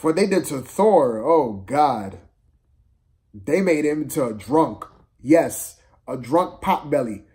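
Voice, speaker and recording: A man giving a casual, unscripted film review rant, exasperated and slangy, repeating himself for emphasis in a halting way. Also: on the high side, expressive, dark tone.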